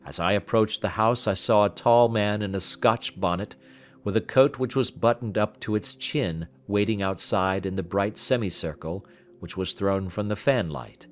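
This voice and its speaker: A man narrating a literary audiobook in a measured, expressive manner. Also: lowish voice.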